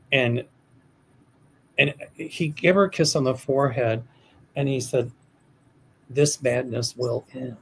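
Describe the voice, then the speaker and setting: A man's voice: medium pitch, slightly rough, poor audio. An older American man hosting a livestream as a psychic, reading viewer questions in a hesitant, rambling way with many restarts. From United States. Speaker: psychic host of a livestream show.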